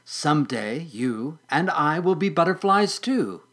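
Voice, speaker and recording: A storyteller voicing a patient older sister caterpillar in a soft, soothing tone. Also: mid-range voice, animated, unhurried. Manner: soft, soothing, sometimes whispered.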